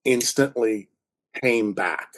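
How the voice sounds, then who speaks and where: A man's voice: mid-range voice, slow. A man chatting casually and enthusiastically as an interview guest, repeating words for emphasis. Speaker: audio drama writer, podcast guest.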